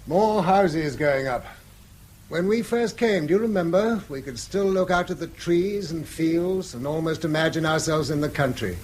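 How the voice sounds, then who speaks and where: A man's voice: fairly high pitch, normal speed, a little mellow, slight background noise, close to an everyday voice. A man acting a weary, bitter middle-aged schoolmaster and father in a British radio drama, speaking eloquently and self-reproachfully in a British accent. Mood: bitter, musing. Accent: British English. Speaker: actor.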